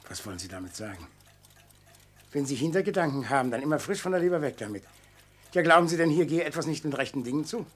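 Embittered, husky-voiced uncle. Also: male voice, on the high side, lively intonation, fast talker. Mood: indignant. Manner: curt, defensive, indignant. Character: grudging, suspicious, embittered uncle under questioning. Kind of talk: German radio crime drama acting.

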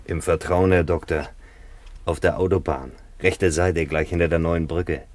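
A man's voice: deep voice, fairly even intonation, measured pace, no echo. A man acting a wry, chatty small-town petrol station keeper in a German radio play, folksy and colloquial. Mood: confiding. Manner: folksy, colloquial, deferential to the doctor.

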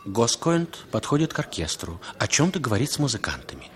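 A man's voice: medium pitch, wildly swooping pitch, brisk. A man giving dramatic scripted narration for a 1960s Soviet radio play. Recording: 1960s radio recording with loud music.

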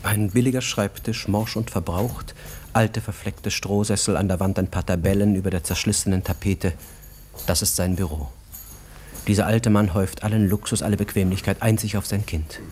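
Male narrator for literary radio drama: low voice, measured storytelling, some background noise. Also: steady tone, darkish tone. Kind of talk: literary radio play narration, present tense.

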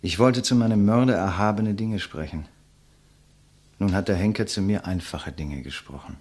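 An actor playing a condemned idealistic man in a 1950s German radio play, speaking solemnly and rhetorically with a husky voice. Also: low-pitched, darkish tone. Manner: solemn, rhetorical, impassioned declamation. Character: condemned man, idealistic intellectual, weary and resigned facing his executioner.

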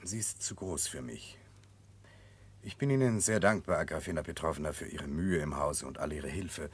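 An earnest nobleman set on atonement, in the manner of 1960s German radio drama. The voice is very low and somewhat husky. He speaks with grave, deliberate insistence. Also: no room sound. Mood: subdued, grave. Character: remorseful, earnest Russian prince resolved to atone.

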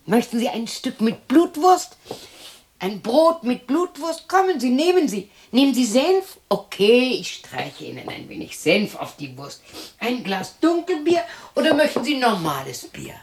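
A woman's voice: fairly high pitch, sing-song, measured pace, husky, exaggerated voice. An actress playing a lonely, cantankerous widow in her sixties in a radio drama monologue, muttering to herself and snapping. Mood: hospitable. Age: 60s. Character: lonely, cantankerous sixty-year-old German widow talking to herself.

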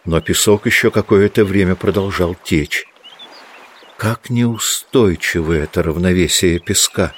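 A man's voice: sits low, breathy edge. A man reading scripted literary narration as the narrator of an audio drama.

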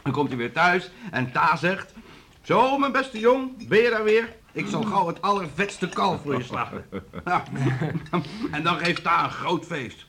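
A man's voice: high-pitched, big pitch swings, unhurried, bright, forceful tone, exaggerated voice. A man playing a resentful, sarcastic grown farm son in a Dutch radio play, mocking and theatrical. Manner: mocking, laughing bitterly, theatrical. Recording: noisy old radio drama recording.

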